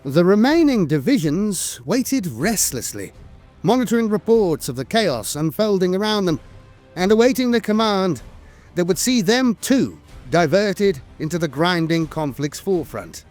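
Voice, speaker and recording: Higher-pitched man narrating lore, lively intonation. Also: measured pace. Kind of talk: scripted documentary-style lore narration. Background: music.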